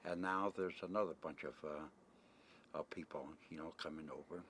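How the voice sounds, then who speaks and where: A man's voice: extremely low pitch, steady tone, normal speed, a bit bright. An older American man in a casual interview, rambling in a blunt, plain-spoken way with mild swearing. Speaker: interviewee, retired merchant seaman. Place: on location at a desert camp, ambient noise. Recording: noisy 1990 amateur videotape sound.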